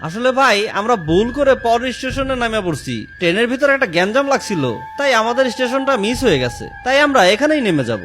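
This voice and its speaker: A man voicing an excitable, argumentative man in a comic cartoon, speaking in colloquial exclamations. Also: very high voice, a little fast, few pauses, a little hiss or hum, exaggerated voice. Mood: flustered. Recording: music behind the voice.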